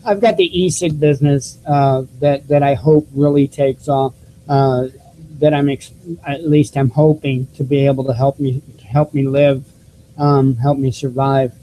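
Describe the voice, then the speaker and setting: A man with a squeaky voice hosting his own YouTube news channel, talking casually to viewers through a cheap desktop microphone with many restarts and fillers. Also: fairly even intonation, unhurried, warm tone, slight background noise, low-quality recording. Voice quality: squeaky voice, self-described. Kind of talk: casual vlog talk to viewers.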